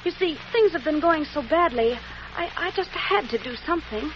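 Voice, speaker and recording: High, expressive, earnest young woman. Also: normal speed. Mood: earnest, shy. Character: earnest, hard-up young woman, apologetic and shy. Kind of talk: 1940s radio romantic comedy acting. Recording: muffled, noisy old 1940s radio broadcast.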